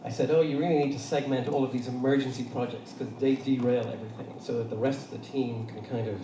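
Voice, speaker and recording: A man's voice: medium pitch, fairly even intonation, fast talker. A middle-aged man with an Irish accent, speaking to an audience in a loose, anecdotal way with many fillers. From Ireland. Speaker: panel speaker. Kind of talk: conversational talk to an audience. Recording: roomy far-off hall recording with noise.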